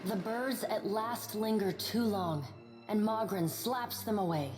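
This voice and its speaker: A female game narrator with a mid-pitched voice reads scripted fantasy prose. Music plays clearly behind her. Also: unhurried.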